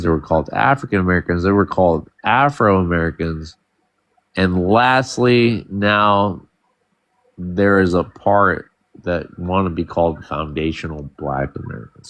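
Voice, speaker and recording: A man's voice: deep voice, moderate pace, fairly bright tone, no room sound. A man hosting a live online panel discussion, steering the talk and often checking in with "right?". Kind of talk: casual moderated discussion.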